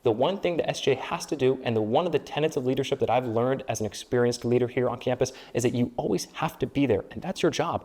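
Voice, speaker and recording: A young man whose first language is Spanish and who grew up in Peru and the Dominican Republic. He is a student government candidate giving a speechlike campaign pitch in an interview, building repeated phrases into rhetorical lists. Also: quick pace, hardly any pauses, a little mellow. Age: young adult.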